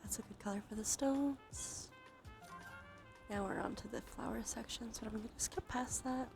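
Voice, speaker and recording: A woman's voice: on the high side, very animated, normal speed, vocal fry. A woman livestreamer giving whispered ASMR commentary on a game, murmuring as she thinks aloud. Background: music.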